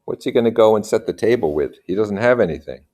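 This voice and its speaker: Male story reader, fairly low voice, narrating with informal commentary and questioning asides. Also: lively intonation, fast, darkish tone. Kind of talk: story reading with casual asides.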